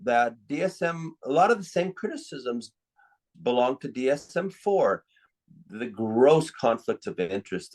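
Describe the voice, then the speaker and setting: An older man speaking as a psychiatrist in an interview, giving his opinions in a reflective, conversational way. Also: medium pitch.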